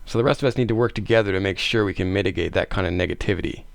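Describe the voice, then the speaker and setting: A man's voice: low-pitched, rapid-fire, vocal fry. A man speaking as an experienced pilot and host, in a casual, reassuring way. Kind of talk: casual vlog talk and commentary.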